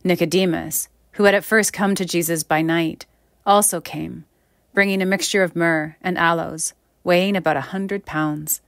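Female minister, slightly low voice, measured scripture reading, dry recording. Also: fairly even intonation, vocal fry, dry recording.

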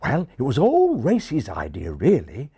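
A man's voice: medium pitch, wildly swooping pitch, a little slow, dark tone, slightly rough, no room sound, studio quality, voice pushed up, exaggerated voice. A man voicing a proud English pigeon in a storybook, with a refined English accent and a proper, condescending manner. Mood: conspiratorial, earnest.

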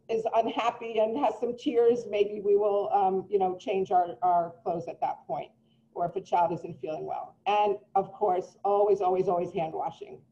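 A middle-aged woman speaks earnestly to parents from her home over a video call, reassuring and full of little ums. Her voice is mellow and unforced. The laptop microphone makes it sound muffled and lo-fi. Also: medium pitch. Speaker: preschool director. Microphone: laptop mic on a video call. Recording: dull lo-fi video call audio.

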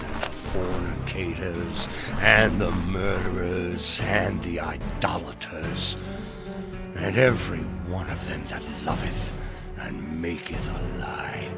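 A man playing a stern, fanatical old gunslinger turned frontier preacher in a video game, solemnly reciting with a gravelly voice. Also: sits low, fairly even intonation, takes it slowly, heavily acted. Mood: ominous, solemn. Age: older. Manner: solemn, ominous scripture recital. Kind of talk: dramatic video game acting. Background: music.

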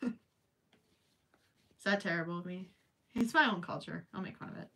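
A woman's voice: fairly low pitch, moderate pace, gravelly, bright, forceful tone, distant mic. A young woman with a Minnesota accent chatting casually while crocheting on a livestream, rambling with joking asides. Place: small indoor craft room with yarn shelves.

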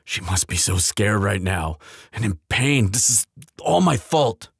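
A man playing a guilt-ridden bodyguard in love in a roleplay audio drama, speaking in an urgent, halting, earnest way. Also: lowish voice, expressive, creaky, slightly rough, no echo, clean studio sound, heavily acted. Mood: frantic.

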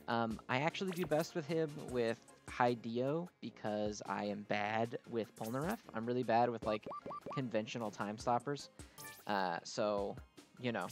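Music plays clearly behind a man talking in a medium-pitched voice. He gives casual gameplay commentary as the host of a gaming video. His delivery is informal and slangy, with a self-deprecating streak.

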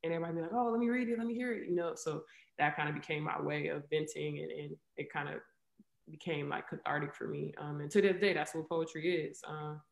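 Reflective young woman, gravelly and roomy. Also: deep voice, steady tone, fast talker. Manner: candid, reflective, many fillers like "right?" and "um". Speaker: interview guest, athlete and poet. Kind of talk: casual video call interview. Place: living room at home, indoors. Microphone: video call webcam. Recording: roomy echo on a video call.

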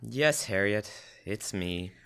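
A man's voice: fairly low pitch, expressive, takes it slowly, gravelly, pushed and bright, clean tone, exaggerated voice. A young man playing an earnest, flustered, apologetic husband in old-time radio comedy. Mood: apologetic, dejected. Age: teens or young adult. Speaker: high school student actor. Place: school library room. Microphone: stand microphone.

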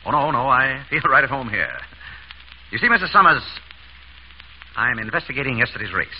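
An actor playing an urbane, wry doctor turned amateur sleuth in a 1930s radio drama, suave and dryly polite. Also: male voice, medium pitch, lively intonation, brisk, natural voice. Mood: polite, probing. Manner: suave, dry, polite questioning. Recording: scratchy, dull old radio broadcast.

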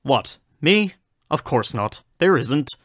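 A man acting the part of a polite, self-possessed doctor in an audio drama, speaking in a formal, measured way. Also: fairly high pitch, sing-song, fairly bright tone, dry recording, clean studio sound, exaggerated voice. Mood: defensive. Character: polite, self-possessed male doctor turned reluctant funfair ringmaster.

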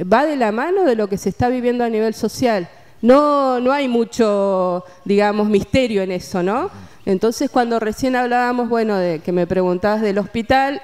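A woman's voice: medium pitch, warm tone, smooth. An Argentine woman in her sixties explaining reflectively in a conversational interview, outdoors on a handheld microphone, often adding short tag questions. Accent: Argentine Spanish. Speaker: interview guest, mental health worker. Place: outdoors at a table by a street.

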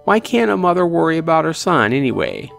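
A man's voice: a little high, huge pitch swings, fairly quick, vocal fry, a bit bright, faint music behind, voice pushed up, exaggerated voice. A man voicing a doting, fussing middle-aged mother in a visual novel, cajoling and theatrical. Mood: fussing, concerned.

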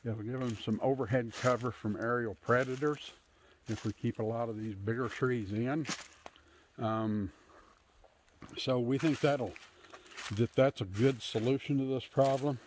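A man in his fifties giving a casual, unscripted tour outdoors on a handheld phone, pausing often as he talks. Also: mid-range voice, steady tone, darkish tone, slight background noise. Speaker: homesteader hosting a channel. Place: outdoors in bare winter woods. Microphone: handheld phone at arm's length.

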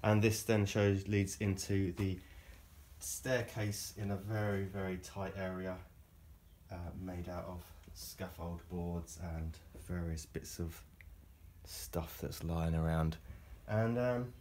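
A man gives an informal tour in a fairly deep voice. He chats wryly, pausing to find his words. The sound is roomy and reverberant, picked up inside a boat with slight noise behind him. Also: fairly even intonation, a little mellow. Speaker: boat owner and builder giving a tour. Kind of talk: informal impromptu guided tour. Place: large cluttered covered deck space inside a boat. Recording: echoey boat interior with background noise.

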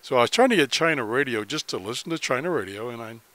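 A man presenting a casual, unscripted screen-capture tutorial as a hobbyist, speaking haltingly with pauses in the middle of sentences. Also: mid-range voice, expressive, bright, forceful tone, no room sound. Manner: halting, pauses mid-sentence, often clears throat.

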